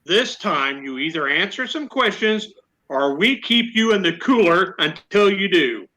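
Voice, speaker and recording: An actor playing an excitable, blustering police sergeant who barks repeated orders in an old-time radio comedy. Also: male voice, high-pitched, a little slow, heavily acted, low-quality recording. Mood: stern, puzzled. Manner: barking, repetitive orders.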